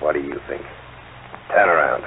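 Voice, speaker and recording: An actor playing a sneering, bullying American gangster in a tough, slangy 1940s radio crime drama style. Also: male voice, rumbling low, moderate pace. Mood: menacing, gloating. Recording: tinny, noisy old radio recording.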